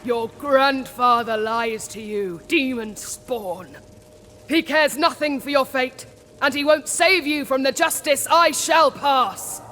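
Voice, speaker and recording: An actress playing a proud, righteous holy warrior in a fantasy game, solemn, commanding and declamatory. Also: female voice, high voice, takes it slowly, a bit bright, in a large hall, soft background music. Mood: defiant. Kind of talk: dramatic fantasy game acting.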